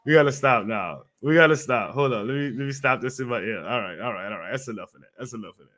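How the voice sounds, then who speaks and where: A man's voice: medium pitch, fast talker, a bit bright. Chatty man telling stories. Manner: chatty, laughs often, repeats himself. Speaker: channel host. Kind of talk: casual storytelling to camera.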